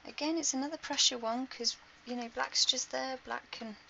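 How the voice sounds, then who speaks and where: Female sports commentator, chatty and informal, creaky voice, muffled stream audio with faint noise. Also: high voice, fairly even intonation, normal speed, pushed and bright. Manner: chatty, colloquial, exclaiming. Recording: dull lo-fi stream with background noise.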